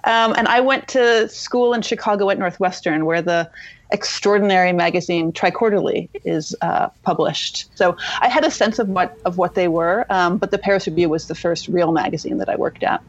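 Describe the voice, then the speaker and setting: Soft-spoken woman on lo-fi remote audio. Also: lowish voice, a little hiss or hum. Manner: measured, reflective. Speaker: guest editor. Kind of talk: podcast interview. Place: remote location.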